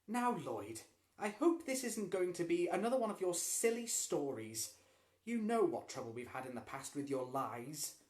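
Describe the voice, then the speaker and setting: A reader voicing a fussy mother who scolds in a shrill, exclaiming way. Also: sing-song, normal speed, a little mellow, close to an everyday voice. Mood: chiding. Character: fussy, easily exasperated mother.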